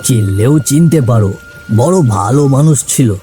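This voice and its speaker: A man's voice: medium pitch, animated, a little slow, warm tone, faint music behind, close to an everyday voice. A man voicing a kindly, chatty older ox-cart driver in a village cartoon story, folksy and conversational. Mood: regretful.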